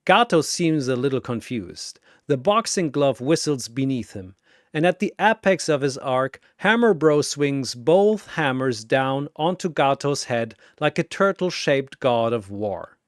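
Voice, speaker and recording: A man's voice: mid-range voice, animated, a little slow, no echo, studio quality. A man narrating a scripted battle story in a dramatic style.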